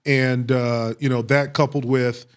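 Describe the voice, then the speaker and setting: A middle-aged American man from Texas answering interview questions with personal stories, speaking reflectively with frequent pauses and repeated phrases. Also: medium pitch, level tone, clean tone. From Waco, Texas, United States. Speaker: police chief, interview guest.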